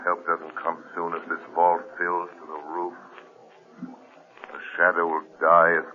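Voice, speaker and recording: An actor playing a grim, invisible male avenger who speaks in a measured, commanding way, in 1930s radio drama style. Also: low-pitched, monotone, narrow, tinny audio. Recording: scratchy 1930s radio broadcast, hissy.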